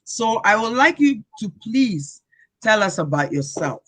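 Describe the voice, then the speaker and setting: Woman, talk show host, unhurried, measured delivery, emphatic pauses, middle pitch. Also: very animated, a bit bright. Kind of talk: interview with a recited poem opening.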